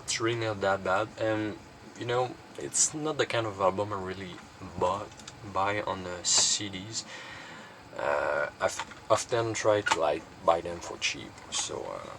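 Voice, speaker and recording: A man's voice: low voice, expressive, unhurried, a bit bright, a little hiss or hum. A man presenting his collection in a casual, unscripted show-and-tell, rambling and hesitant with lots of filler words.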